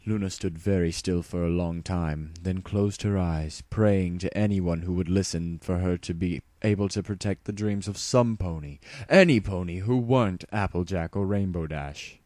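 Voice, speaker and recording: A man's voice: deep voice, normal speed, hardly any pauses, a little mellow, no room sound. A man narrating a story as a dramatic reading.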